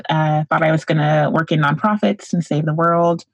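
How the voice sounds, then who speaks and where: Podcast guest, young woman from Florida, low voice, dry close sound, relaxed and filler-heavy. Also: normal speed, no echo. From Central Florida, United States. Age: young adult. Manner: relaxed, lots of "like" and "um", backchannels often. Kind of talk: casual conversational interview.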